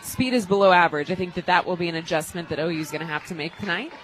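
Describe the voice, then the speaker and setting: A woman giving live softball analysis as a radio color commentator in an explanatory, conversational way. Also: on the low side, racing, a faint crowd, poor audio. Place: radio booth at a softball stadium.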